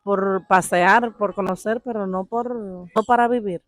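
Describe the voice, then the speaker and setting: A woman's voice: mid-range voice, steady tone, measured pace, dry recording. A woman with a Cuban accent giving casual answers in a street interview. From Cuba.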